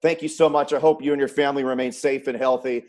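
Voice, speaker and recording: A man's voice: medium pitch, steady tone, fast, a little mellow. Man hosting a casual sports interview. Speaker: host interviewing a guest, sports announcer.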